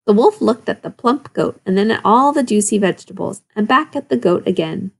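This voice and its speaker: Casting: female primary teacher for an expressive storybook read-aloud, medium pitch, creaky edge, instructive delivery. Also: fairly quick. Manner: instructive, questioning asides to children. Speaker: second-grade teacher.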